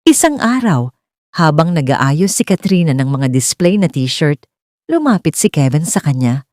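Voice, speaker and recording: A woman's voice: low-pitched, very animated, unhurried, darkish tone. A woman reading a scripted romance story as its narrator.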